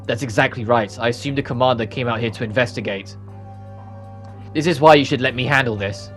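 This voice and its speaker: A man's voice: medium pitch, fairly quick, fairly bright tone, soft background music, lightly acted. Man reading as an earnest, guarded fallen knight. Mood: earnest, serious. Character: earnest young male fallen knight turned witch. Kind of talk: reading game cutscene dialogue.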